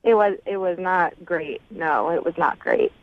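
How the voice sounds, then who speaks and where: A woman's voice: lowish voice, sing-song, a little slow, creaky, dry recording. A young adult woman talking casually as a caller on a radio show, blunt and indignant. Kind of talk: casual phone chat. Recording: muffled phone line.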